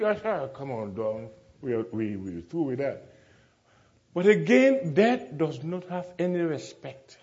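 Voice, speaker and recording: A man's voice: on the high side, a little mellow. Emphatic male preacher, dull lo-fi recording. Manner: emphatic, repeats phrases, rhetorical questions to listeners. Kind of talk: sermon to a congregation.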